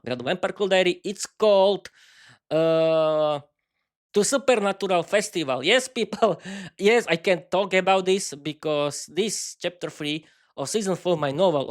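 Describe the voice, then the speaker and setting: Man, slovak accent, non-native english, unscripted solo podcast, halting, many restarts, fairly high voice. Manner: halting, frequent restarts and fillers. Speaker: podcast host.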